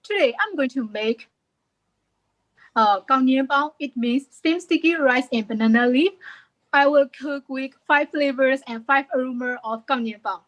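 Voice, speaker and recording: A woman's voice: high voice, normal speed. A woman from Myanmar, a contestant, hesitantly describing her dish over a video call. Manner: hesitant, with "uh" fillers. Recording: dull video call audio.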